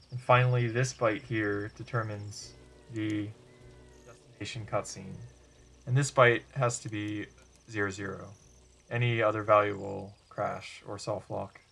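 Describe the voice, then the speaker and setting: Low, flat-toned man explaining step by step. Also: gravelly, a little hiss or hum. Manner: matter-of-fact, step-by-step. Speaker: video presenter demonstrating a game glitch. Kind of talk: explanatory tutorial narration over gameplay.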